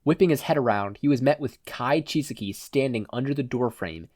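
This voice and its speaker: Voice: medium pitch, brisk, a little mellow, no room sound, clean studio sound. A narrator reading fan fiction as a scripted audiobook.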